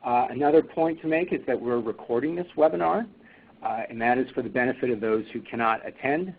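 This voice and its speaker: A man moderating a webinar, speaking haltingly with many fillers and restarts. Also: medium pitch, vocal fry, husky. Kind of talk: webinar presentation. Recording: tinny phone line.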